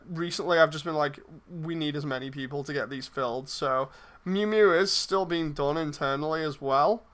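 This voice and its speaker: A man's voice: a little high, pushed and bright, clear, resonant tone. A man chatting casually on a livestream as he replies to messages, rambling and hesitant, often trailing off mid-thought. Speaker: streamer and project lead casting voice roles.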